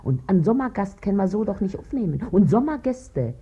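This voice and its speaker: Husky, bossy working-class Berlin wife. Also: female voice, mid-range voice, big pitch swings, brisk, mellow, lo-fi sound. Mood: scheming. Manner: bossy, scolding, wheedling, colloquial. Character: crafty, domineering working-class washerwoman, wife and mother. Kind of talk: 1950s German radio play acting.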